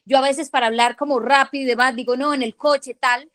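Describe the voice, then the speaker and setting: Latin american woman rambling on a podcast, kinda high voice, dry close sound. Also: steady tone, fairly bright tone, no room sound. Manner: chatty, many fillers like "o sea", quick asides. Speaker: podcast co-host. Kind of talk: casual chat.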